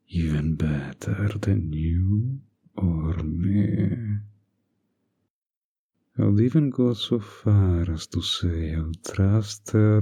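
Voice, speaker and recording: Male actor as a flirtatious villain, slow drawl, husky breathy tone, smug, manipulative, intimate roleplay audio. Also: sits low, dark tone, exaggerated voice. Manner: drawling, coaxing, smug, with deliberate pauses.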